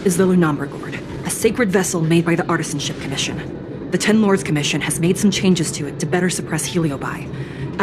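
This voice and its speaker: Woman acting as solemn android judge, slightly low voice, measured, formal, stoic, anime game character, music behind. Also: lightly acted. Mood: solemn, instructing. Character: solemn, stoic female judge with an artificial body.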